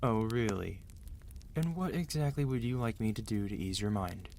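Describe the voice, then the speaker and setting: Low-voiced, weary mafia boss played by a man. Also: moderate pace, a little mellow, a little hiss or hum, natural voice. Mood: gentle, teasing. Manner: tired, authoritative, dry, intimate. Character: weary, protective mafia boss husband, commanding yet gentle. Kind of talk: ASMR roleplay acting.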